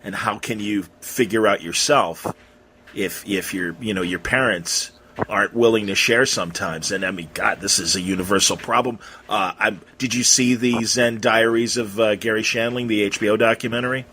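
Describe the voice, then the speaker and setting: A man hosting a casual interview, asking rambling questions in a halting, conversational way. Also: lowish voice, fairly even intonation, sharp tone, slight background noise. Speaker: podcast interviewer.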